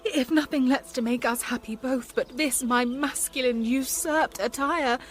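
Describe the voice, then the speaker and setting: A woman's voice: high voice, measured pace, slight background noise. An actress playing a devoted young woman disguised as a boy in a radio Shakespeare drama, speaking verse earnestly and carefully. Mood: hopeful. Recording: faint background ambience.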